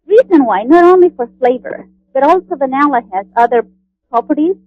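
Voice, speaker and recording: A woman from Honduras, a guest on a radio interview, speaking in a friendly, halting way with many fillers. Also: high-pitched, expressive, darkish tone, no room sound, muffled recording. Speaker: guest, cookbook author.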